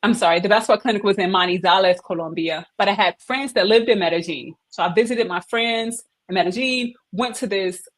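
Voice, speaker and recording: A middle-aged woman, a guest on a video-call interview, telling her career story in a lively, emphatic way. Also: medium pitch. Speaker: head of operations, interview guest. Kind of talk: casual interview conversation.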